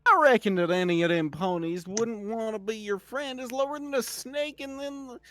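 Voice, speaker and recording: A woman's voice: fairly high pitch, normal speed, no echo, lightly acted. A woman voicing a folksy, cheerful cartoon cowgirl pony with a put-on American Southern accent. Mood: folksy, sincere.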